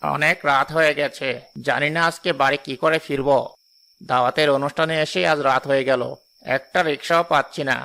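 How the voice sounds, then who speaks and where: A man's voice: fairly high pitch, fairly even intonation, brisk, sharp tone, smooth. A man playing a kindly, generous passenger and family man in a cartoon drama, courteous and earnest. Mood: weary.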